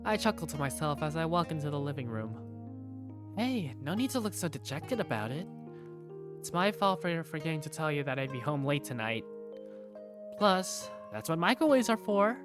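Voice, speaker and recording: A woman's voice: deep voice, sing-song, moderate pace, music in the background. A woman playing an affectionate young working big sister, narrating her own story in a visual novel fan dub. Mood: affectionate, playful. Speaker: narrator and protagonist. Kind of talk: first-person visual novel narration and dialogue.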